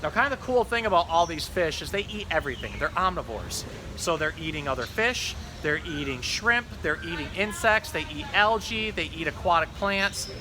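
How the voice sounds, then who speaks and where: A man with a slightly high voice talks casually and informatively as a zookeeper host. There is noticeable ambient noise behind him throughout. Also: lively intonation, normal speed. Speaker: zookeeper host showing pond fish. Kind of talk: casual educational talk.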